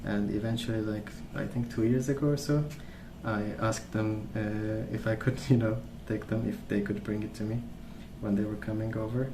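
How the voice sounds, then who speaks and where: A young man rambling in a gentle, soft-spoken ASMR style, musing aloud without hurry. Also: fairly low pitch, steady tone, normal speed, hardly any pauses. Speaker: ASMR video creator. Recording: echoey room, clear background noise.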